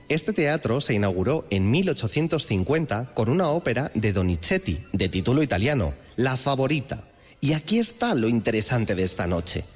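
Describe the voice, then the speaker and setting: Lively man explaining, in long reverb. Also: medium pitch. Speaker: language commentator. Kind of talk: explanatory radio segment with examples.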